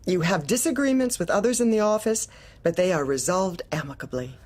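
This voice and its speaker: Casting: icy female manager, lowish husky voice, sweetly menacing, probing, for dark comic audio drama. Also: lively intonation. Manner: deliberate, probing, politely menacing, repeats questions. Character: manipulative, heartless female office manager, falsely pleasant.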